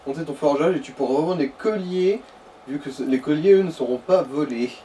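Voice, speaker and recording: A man's voice: fairly high pitch, brisk, warm tone. A man chatting casually as a gaming companion, explaining things and giving tips. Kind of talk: casual chat. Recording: slightly distant mic, background noise.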